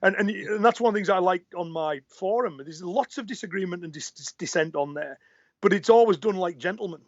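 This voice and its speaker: A man's voice: high voice, fairly quick, no echo. A man and karate instructor answering interview questions in earnest, often repeating words as he thinks. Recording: muffled lo-fi audio.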